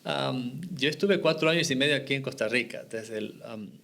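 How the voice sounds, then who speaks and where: Man from Ecuador, middle-aged, earnest, reflective, mid-pitched voice, missionary podcast guest. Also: normal speed, creaky, fairly bright tone. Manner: earnest, reflective, with short restarts. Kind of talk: podcast interview, faith testimony.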